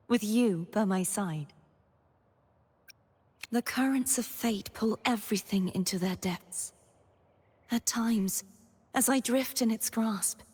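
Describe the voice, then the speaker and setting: A woman's voice: medium pitch, slightly rough, big-room sound. An actress playing a noble, solemn young woman knight in a video game, with formal, dramatic delivery. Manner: formal, dramatic, archaic phrasing. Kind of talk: scripted video game character voice lines.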